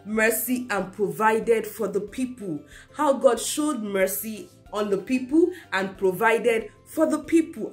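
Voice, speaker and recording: A young woman teaching a children's church class, retelling a Bible story to small children with lots of repetition and questions. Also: medium pitch. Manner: animated, repeats key phrases for kids. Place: indoor room at home.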